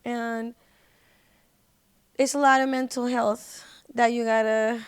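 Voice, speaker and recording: A woman with a fairly high voice chats as an interview guest in a close, dry recording. Her talk is informal and slangy, and she repeats phrases to drive them home. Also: flat delivery, takes it slowly, smooth, no echo. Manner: informal, slangy, emphatic with repetition. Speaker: podcast guest, independent singer. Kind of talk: casual personal storytelling in an interview.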